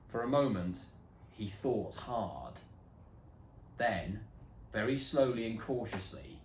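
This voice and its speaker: A young man reads a children's story aloud, dramatic and expressive. His delivery is slow and unhurried, with a voice that rises and falls a great deal. The recording echoes noticeably around the room. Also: fairly low pitch, a little hiss or hum. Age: young adult. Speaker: reader. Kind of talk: storybook reading.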